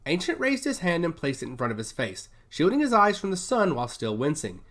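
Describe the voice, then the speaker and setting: Expressive male narrator, widely varied pitch. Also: a little fast. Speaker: audiobook narrator. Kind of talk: scripted dramatic narration of science fiction.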